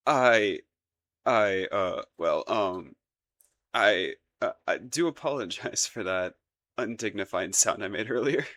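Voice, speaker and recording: A man's voice: fairly low pitch, animated, slow, fairly bright tone. A man playing a flustered, lovestruck young crown prince in a romantic audio roleplay, stammering and rambling nervously in formal courtly wording. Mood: flustered, sheepish.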